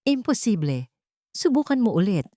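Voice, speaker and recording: A woman's voice: mid-range voice, big pitch swings, normal speed, mellow, no room sound, clean studio sound, natural voice. A woman voicing an agitated, demanding cheating husband in a story. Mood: disbelieving. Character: cheating husband, adult man losing his money.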